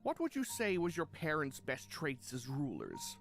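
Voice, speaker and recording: A fond, reformed cartoon chaos beast, acted by a man, with a lively mid-range voice. He is theatrical and a little awkward, sincere underneath, with faint music behind him. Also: a little high, full character voice. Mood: earnest encouragement. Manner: sincere, theatrical, halting when shy. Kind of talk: animated fan drama dialogue.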